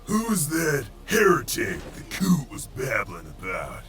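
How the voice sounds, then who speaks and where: A man voicing a brash, sardonic robot soldier in an animated series, gravelly and slangy, with loud music underneath. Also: medium pitch, slow pace, far from the mic, full character voice. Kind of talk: animated-series character acting.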